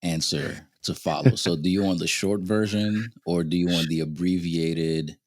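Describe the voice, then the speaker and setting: A man's voice: deep voice, fairly even intonation, moderate pace, dry recording. A man in his thirties, born in Venezuela to a Haitian family, telling his life story as a podcast guest with easy laughs. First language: Haitian Creole or Spanish. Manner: thoughtful, laughs easily, storytelling. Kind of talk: casual interview conversation.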